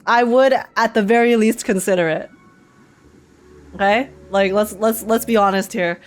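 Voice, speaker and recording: A woman's voice: mid-range voice, fairly even intonation, moderate pace. A woman giving casual, slangy, joking reaction commentary as a game streamer. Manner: slangy, joking, frequently chuckling.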